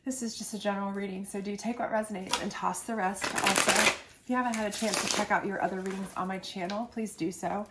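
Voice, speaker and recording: A woman's voice: medium pitch, fairly even intonation, fast, very noisy. A congested woman giving a chatty, reassuring tarot love reading, full of fillers and asides. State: congested from a sinus infection. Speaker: tarot reader. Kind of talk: casual tarot reading to camera.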